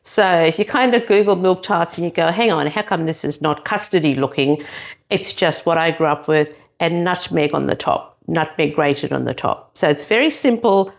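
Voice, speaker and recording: A woman's voice: low-pitched, moderate pace, creaky. A middle-aged South African woman co-hosting a home cooking show, chatting through each step as she works. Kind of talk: casual cooking demonstration.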